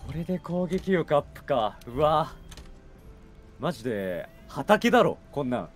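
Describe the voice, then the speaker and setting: A man's voice: fairly high pitch, very animated, a little slow, faint music behind. A man streaming a game with casual chat, giving short, blunt, slangy reactions.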